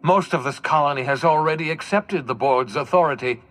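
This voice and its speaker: A man's voice: fairly high pitch, brisk, fairly bright tone, lightly acted. Guy doing a broken old fugitive scientist in a video game, defiant n despairing, very dramatic, some noise behind him. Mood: bitter, defiant. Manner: theatrical, anguished, swinging from bitter to pleading.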